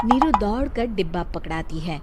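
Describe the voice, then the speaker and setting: A woman's voice: medium pitch, lively intonation, normal speed, loud music behind the voice. A woman reading scripted narration for a moral bedtime story.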